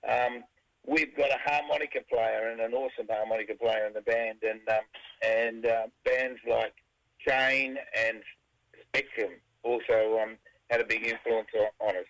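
A middle-aged man with an Australian accent and a raspy voice, bright and edgy in tone. He speaks at an ordinary mid pitch and talks his way through his answers casually, stalling on fillers and restarting his sentences. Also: fairly even intonation, moderate pace, poor audio. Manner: rambling, many fillers and restarts. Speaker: rock band singer.

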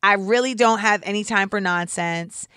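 A woman in her thirties with a deep voice, hosting a casual commentary show in a candid, sarcastic way and saying "like" often. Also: normal speed, bright, forceful tone, clear, resonant tone, dry recording, clean studio sound. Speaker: host.

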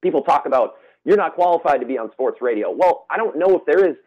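Fast-talking young man. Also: a little high, lively intonation, muffled recording. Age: 20s. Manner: earnest, eager, quick-talking. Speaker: student radio host, guest. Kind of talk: casual interview chat.

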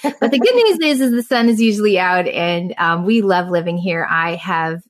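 Forties woman guest from southern Indiana, bubbly and laughing, pitch leaping up when excited. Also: moderate pace. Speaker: guest author. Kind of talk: casual conversation.

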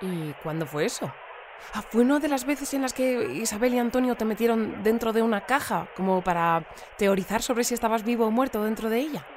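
A woman's voice: fairly high pitch, animated, brisk, a little hiss or hum, natural voice. A woman playing a polite, earnest news presenter who interviews and explains wordily in an audio drama. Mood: curious. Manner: courteous, wordy, explanatory.

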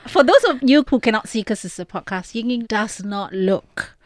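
A woman's voice: a little high, animated, moderate pace, dry recording, studio quality. A woman co-hosting a podcast in a chatty, playful way. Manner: chatty, playful, laughs easily. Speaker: podcast co-host. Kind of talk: casual podcast chat.

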